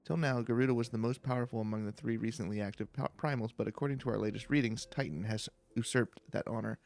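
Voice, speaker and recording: A man reading game dialogue aloud in a relaxed let's play style, now and then tripping over a phrase. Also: medium pitch, quick pace, gravelly. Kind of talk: reading game dialogue aloud in a calm, slow-paced playthrough.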